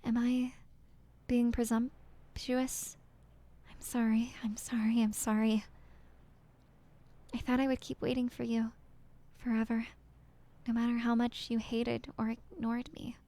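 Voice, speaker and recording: A woman voicing a heartbroken young woman reading out her farewell letter in a halting, apologetic half-whisper. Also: fairly high pitch, level tone, dark tone.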